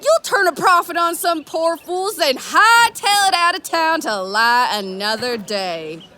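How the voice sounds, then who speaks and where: A woman's voice: very high-pitched, expressive, measured pace, sharp tone, exaggerated voice. A woman playing a bold, blunt Old West gunslinger, forthright and challenging, in an audio drama. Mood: firm, challenging.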